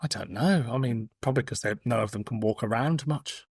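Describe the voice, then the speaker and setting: Man, forties, podcast host, casual banter, playful and wry, mid-pitched, dry close sound. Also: brisk, a little mellow, husky, dry recording. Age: 40s.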